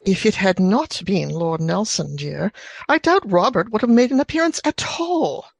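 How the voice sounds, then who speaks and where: An actress playing a planter's elderly wife in a radio play, dry, terse and genteel. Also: female voice, mid-range voice, animated, normal speed, vocal fry.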